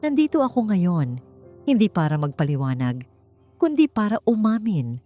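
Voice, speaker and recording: A woman's voice: medium pitch, very animated, moderate pace, dark tone, faint music behind, close to an everyday voice. Audiobook figure: proud young female executive, earnest and formal, read by a woman. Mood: earnest, contrite. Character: proud young woman CEO admitting fault.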